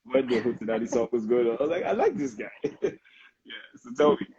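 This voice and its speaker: A man's voice: mid-range voice, lively intonation, a little fast, a crowd in the background. A man with a Nigerian accent hosting a casual live interview, hesitant, with many fillers and restarts. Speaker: interviewer and live stream host. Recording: dull lo-fi livestream audio.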